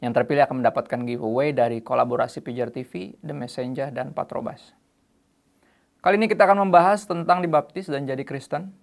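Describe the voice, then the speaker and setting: Gravelly-voiced male church show host. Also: mid-range voice, fairly quick. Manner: relaxed slangy delivery, quoting scripture. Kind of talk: casual preaching talk.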